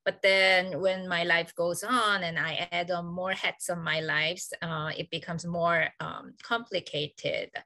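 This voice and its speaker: A woman from South Korea with a Korean accent, speaking on a video call. Her voice is bright and a little low, and the sound is close and dry. She talks earnestly and reflectively, pausing on fillers. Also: steady tone, no room sound. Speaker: associate professor, panelist. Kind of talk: panel discussion on video call.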